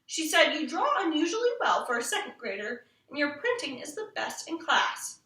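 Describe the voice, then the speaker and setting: A woman voicing a calm, gently teasing storybook father with a measured, matter-of-fact way of talking. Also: sits high, exaggerated voice. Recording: roomy echo, distant mic.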